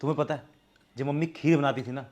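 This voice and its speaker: A man's voice: on the high side, fairly even intonation, fast, darkish tone, natural voice. A young man with an Indian accent acting a stubborn, fault-finding but earnest husband in a domestic drama. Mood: picky. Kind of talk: scripted domestic drama acting in Hindi with some English.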